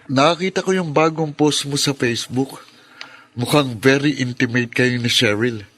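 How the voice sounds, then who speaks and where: An actor with a Filipino accent playing a bitter, serious, confrontational younger brother in a radio drama. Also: male voice, medium pitch, unhurried, fairly bright tone, slightly rough, soft background music. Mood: accusing, resentful.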